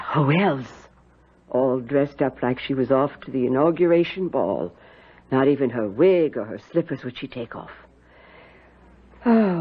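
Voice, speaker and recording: An actress playing a pious, brisk older aunt in a radio drama, with a firm way of hushing and ordering. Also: female voice, extremely low pitch, gravelly, slightly rough, exaggerated voice. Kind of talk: 1970s radio drama acting. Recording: tinny, narrow old radio recording.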